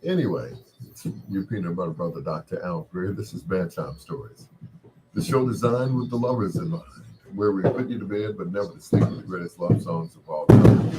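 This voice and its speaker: Deep-voiced radio host, booming. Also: male voice. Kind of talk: late-night radio chat. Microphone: studio mic with processor. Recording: noisy background with booming fireworks. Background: firecrackers booming.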